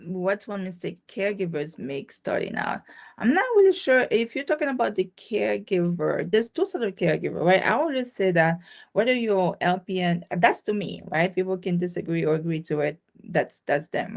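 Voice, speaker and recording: A woman born in Haiti, a business owner talking casually in an interview, with many restarts and a ready laugh. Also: lowish voice. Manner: chatty, many restarts and fillers, laughs easily. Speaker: guest, caregiving business owner.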